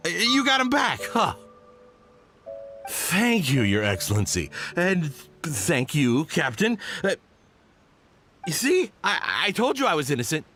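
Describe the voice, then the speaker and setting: A man's voice: fairly high pitch, huge pitch swings, slow, pauses often, sharp tone, soft background music, exaggerated voice. A man voicing a nervous, ingratiating merchant in a video game, effusive and fawning. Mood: relieved, fawning. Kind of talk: video game character voice acting.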